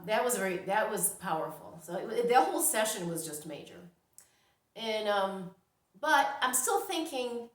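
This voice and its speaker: A woman's voice: medium pitch, a bit bright. Woman telling a personal story, echoey room. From New York, United States. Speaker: storyteller, vlogger. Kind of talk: casual personal storytelling to camera. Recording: roomy, echoing sound.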